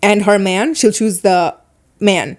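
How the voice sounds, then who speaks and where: Woman, foreign accent, podcast host, casual monologue, animated, opinionated. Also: medium pitch. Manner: animated, opinionated, talks fast in bursts.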